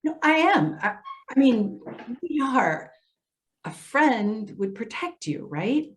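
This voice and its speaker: A woman's voice: a little high, very animated, slow pace, a little hiss or hum. A woman playing a well-meaning social worker in a stage reading, hesitant, earnest and placating. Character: well-meaning female elder affairs social worker, apologetic, cautious. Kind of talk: readers theater stage acting.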